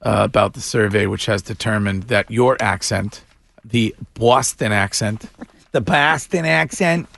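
A man's voice: lowish voice, a little slow, vocal fry, fairly bright tone, dry recording. A male morning radio host in casual banter, incredulous and mocking, and he laughs often.

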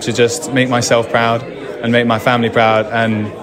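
A man's voice: medium pitch, level tone, normal speed, music in the background. Young man amid busy crowd chatter. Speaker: job seeker interviewed at recruitment event. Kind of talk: casual vox pop. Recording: busy crowd noise around.

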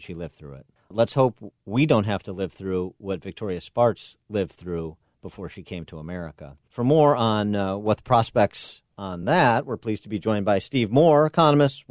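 A man hosting a talk radio show, speaking in a measured, deliberate way with frequent pauses. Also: fairly low pitch, a little mellow, dry recording, studio quality.

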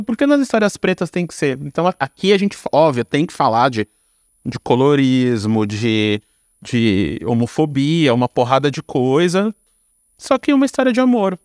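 A man with a Brazilian Portuguese accent and a slightly high voice, recorded dry with very little room sound. He talks in a casual interview style, slangy and informal, stringing thoughts together and often adding little tag questions. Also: no room sound. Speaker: podcast guest, audio storyteller and creator. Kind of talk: casual interview talk about own work.

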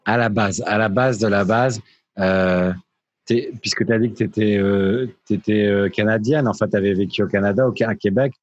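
A man's voice: on the low side, steady tone, normal speed, highs cut off. A man hosting a casual interview in an informal, hesitant way, often repeating his phrases as he goes. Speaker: interviewer, host. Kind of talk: casual conversational interview in French.

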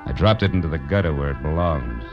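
An actor playing a world-weary private detective, narrating in terse, brooding phrases in 1940s radio noir style. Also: male voice, very deep voice, expressive, fast. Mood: brooding, resigned. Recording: dull 1940s radio recording. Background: prominent dramatic music.

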